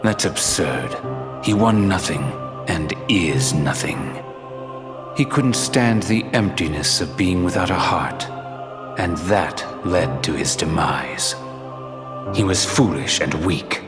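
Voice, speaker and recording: Cold, slow, low-voiced villain. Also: male voice, lively intonation. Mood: cold scorn. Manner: slow, solemn, disdainful delivery. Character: cold, contemptuous villain of a dark organization. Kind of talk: video game cutscene acting. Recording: echoey hall reverb with music behind.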